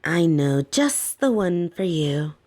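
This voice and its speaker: A woman playing a soft-spoken, domineering lamia caretaker in a roleplay audio, teasing and coaxing with a condescending, softly menacing edge. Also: fairly low pitch, sing-song, slow, full character voice. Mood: soothing, coaxing. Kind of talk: scripted roleplay audio, intimate second-person address.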